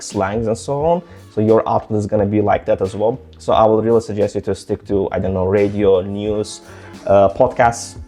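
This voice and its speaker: A young man from Azerbaijan speaks English with a mostly American, slightly British accent. His voice sits fairly low and sounds warm and dark. He rambles through his advice with frequent "like" fillers, and soft music plays faintly underneath. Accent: mostly American with some British. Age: young adult. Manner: rambling, many "like" fillers and restarts. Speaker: language-learning vlogger. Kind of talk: casual advice talk to camera.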